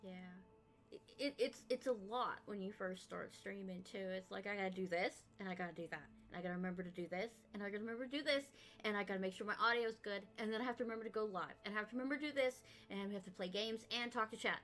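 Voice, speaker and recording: Energetic, bright-voiced woman host. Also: medium pitch, fast. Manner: energetic, loud, laughs easily. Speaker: podcast host. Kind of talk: casual interview chat.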